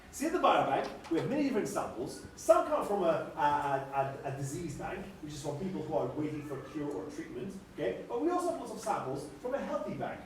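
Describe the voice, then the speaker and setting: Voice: mid-range voice, a little hiss or hum. Stammering presenter. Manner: stammering, lively explaining to children. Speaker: puppet show presenter playing a doctor. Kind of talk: live educational puppet show. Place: large indoor hall. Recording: echoey hall from far off.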